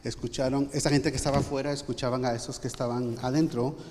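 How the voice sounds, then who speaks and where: A man's voice: medium pitch, flat delivery, fast, a little mellow, a little hiss or hum. A man interpreting a sermon live, translating on the fly with frequent restarts. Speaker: church interpreter. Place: church sanctuary. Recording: long church hall reverb.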